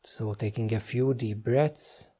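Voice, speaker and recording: A man leading a guided meditation, giving gentle, unhurried instructions with frequent pauses. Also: fairly low pitch, breathy edge.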